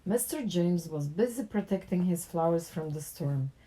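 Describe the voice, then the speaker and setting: A woman reads a picture book aloud in an expressive storytelling style for children. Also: on the low side, normal speed, breathy edge, low-quality recording. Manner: animated character dialogue with plain narration.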